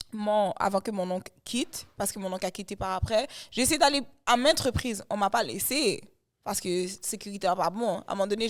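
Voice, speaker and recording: A woman, a guest singer chatting animatedly in an interview and mixing in English phrases. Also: medium pitch. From Canada. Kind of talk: casual interview chat.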